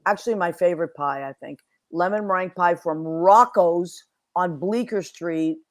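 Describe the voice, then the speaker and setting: A woman's voice: on the low side, moderate pace. Bubbly New woman hosting. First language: Italian. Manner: bubbly, effusive. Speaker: host. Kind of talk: casual interview chat.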